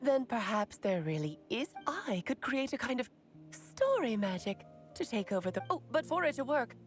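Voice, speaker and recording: A playful, clever sorceress in an anime fantasy game. The voice sits fairly high and swings theatrically, with faint music behind. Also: female voice, sing-song, moderate pace. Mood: musing, amused.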